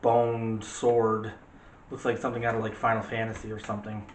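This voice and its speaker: A man's voice: fairly low pitch, monotone, normal speed. A man co-hosting a casual movie discussion, giving his opinions in a rambling, argumentative, conversational way. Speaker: co-host of a movie discussion show. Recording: echoey room with faint background noise.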